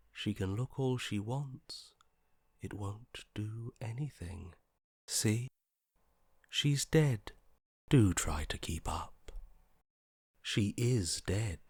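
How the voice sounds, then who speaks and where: An actor playing a detached, morbidly curious inner voice in a video game, with a languid, blasé delivery that softly trails off. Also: male voice, mid-range voice, dry recording, studio quality. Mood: detached, dismissive. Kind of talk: video game character voice acting.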